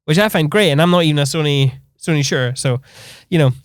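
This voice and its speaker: A man hosting a podcast, relaxed and encouraging with guests, reading his outro promos briskly. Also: on the high side, a little hiss or hum. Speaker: podcast host. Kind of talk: casual interview, scripted outro.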